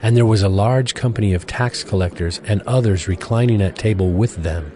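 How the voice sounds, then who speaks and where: A man's voice: on the low side, a little fast, dark tone. A man narrating a dramatized Bible reading from a script. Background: music.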